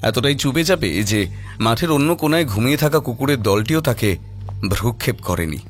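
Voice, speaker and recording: Male storyteller for horror narration, expressive and lively in pitch, faint music underneath. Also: a little fast.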